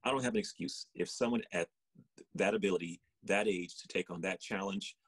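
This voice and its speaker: A man's voice: sits low, wildly swooping pitch, a little fast, gravelly, slightly rough, no echo. A man co-hosting a podcast as a running coach, giving casual motivational talk.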